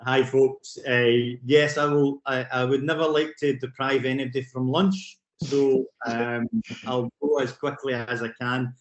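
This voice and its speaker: Excitable Glaswegian man on a video call. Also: medium pitch, reverberant, poor audio. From Scotland. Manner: fast and excitable, with many fillers and restarts. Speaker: youth work programme director. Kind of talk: conference presentation over a video call. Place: remote, away from the venue.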